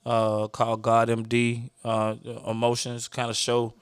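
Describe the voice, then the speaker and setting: A man's voice: mid-range voice, monotone, unhurried, clean tone, no room sound. A man co-hosting a podcast, chatting casually about his music in a rambling way, often trailing off and restarting. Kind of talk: casual chat.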